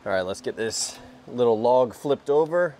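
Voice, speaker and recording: A young man hosting a casual workshop vlog, talking in a relaxed way as he works at a sawmill under an open-sided shed. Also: mid-range voice, moderate pace, slight background noise. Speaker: sawmill channel host.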